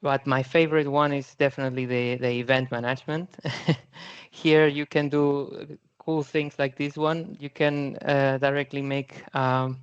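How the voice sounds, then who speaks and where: A man's voice: medium pitch, flat delivery, a little slow, clear, resonant tone, dull audio. A man from Spain with a Spanish accent, a guest speaker giving a friendly but hesitant presentation at a virtual user group, with many fillers and short laughs. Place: online video call.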